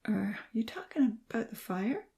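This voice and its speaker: A woman voicing a remorseful injured friend, soft and breathy, plaintive and self-blaming. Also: on the high side, very animated, moderate pace, pitched above the performer's own voice. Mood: sleepy. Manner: plaintive, begging.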